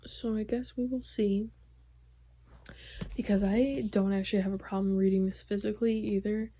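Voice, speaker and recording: A young woman vlogging casually about her reading, rambling with lots of fillers, her voice going hoarse. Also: mid-range voice, fairly even intonation, moderate pace. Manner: rambling, filler-heavy, soft-spoken. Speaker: book vlogger. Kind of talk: casual vlog chat.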